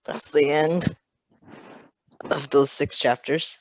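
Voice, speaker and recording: A woman's voice: low-pitched, level tone, slow pace, dry recording, lo-fi sound. A woman chatting casually and enthusiastically about a story as co-host.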